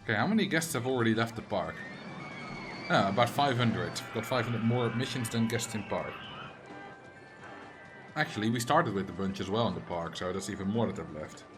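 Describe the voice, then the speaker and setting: A man's voice: fairly low pitch, a little fast, music in the background. A man with a Dutch accent, a streamer chatting casually and answering chat messages while he plays a game. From Netherlands.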